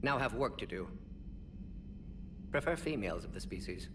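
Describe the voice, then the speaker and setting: An actor playing a brisk, fussy male alien doctor in a video game, speaking in precise, clipped fragments. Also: lowish voice, sing-song. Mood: defensive, resolute. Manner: clipped telegraphic phrasing, rapid-fire, precise. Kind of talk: video game character acting. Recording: noisy game ambience, distant voice.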